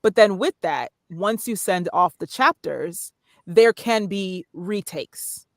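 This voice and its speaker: A woman's voice: lowish voice, lively intonation, takes it slowly, no room sound, studio quality. A woman guest on a podcast, chatting casually about narration work and acting out little examples as she explains. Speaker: guest, voiceover artist. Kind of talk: casual interview talk.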